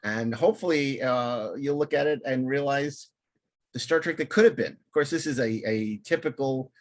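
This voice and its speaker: A man's voice: medium pitch, moderate pace, no room sound. A man hosting a film discussion podcast, talking through and reading out notes. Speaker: podcast host.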